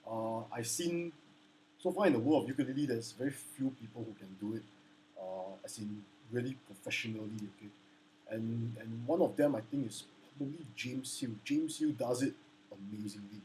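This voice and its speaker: Low-voiced man with a lilt. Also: moderate pace, a little mellow, slight background noise, rough recording. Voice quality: low baritone voice. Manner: hesitant, many restarts and "okay?" check-ins; apologetic and earnest. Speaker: ukulele instructor. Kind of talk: casual spoken lesson with sung demos. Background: ukulele playing.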